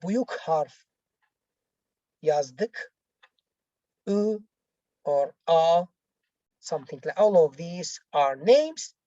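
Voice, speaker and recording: Casting: female language teacher, non-native English speaker, unhurried and halting, soft-edged mellow tone, walking learners through exercises. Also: fairly low pitch, lively intonation, no room sound, highs cut off. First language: not English. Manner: halting, repetitive, explaining step by step. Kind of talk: bilingual lesson, reading out exercises and explaining answers.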